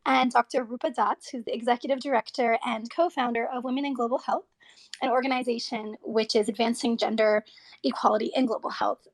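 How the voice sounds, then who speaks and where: A woman hosts an interview podcast and talks eagerly, with frequent ums and restarts. Her voice sits fairly high, with a gravelly, creaky edge at the ends of her phrases. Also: steady tone, brisk, rough recording. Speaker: host.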